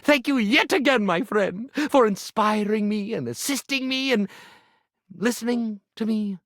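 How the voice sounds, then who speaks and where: A man's voice: sits high, measured pace, fairly bright tone, no room sound, studio quality. A man voicing a video game character, a theatrical ironing board who fancies himself a grand illusionist, florid, melodramatic and easily flustered. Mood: grateful, moved. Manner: flamboyant, melodramatic, florid wording with flustered hesitations.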